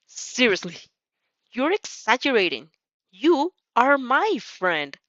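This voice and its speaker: A woman's voice: on the high side, sing-song, slow pace, fairly bright tone, no room sound, dull audio, pitched above the performer's own voice, heavily acted. A woman playing an exasperated but caring teenage girl who scolds emphatically in a fanfiction audio drama. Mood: emphatic, exasperated.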